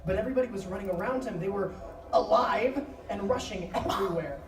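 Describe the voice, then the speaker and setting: A woman's voice: medium pitch, lively intonation, brisk, crowd noise. A woman narrator reading a scripted dramatic story live. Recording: echoey live stage, distant noisy mic.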